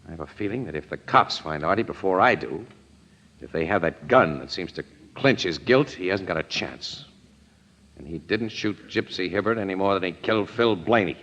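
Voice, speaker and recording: A very low-pitched American man's voice, as a shrewd newspaper crime photographer in an old radio drama. He is steady and sure of himself, a loyal sort who talks plainly. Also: moderate pace, dull audio, heavily acted. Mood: calm, decisive.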